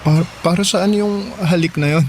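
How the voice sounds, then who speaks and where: Shy young suitor, slightly high male voice actor. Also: moderate pace, darkish tone, a little hiss or hum, natural voice. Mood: bashful, flustered. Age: young adult. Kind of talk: Tagalog audio drama acting. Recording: music behind.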